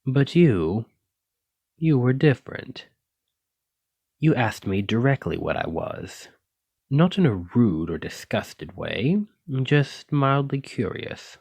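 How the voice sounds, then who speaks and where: A man's voice: mid-range voice, sing-song, takes it slowly, creaky, darkish tone, slightly rough, dry recording, exaggerated voice. A man playing a flirtatious, slightly possessive dragon-blooded librarian in an intimate, teasing ASMR romance roleplay. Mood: wistful, fond. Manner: intimate, teasing, uses pet names.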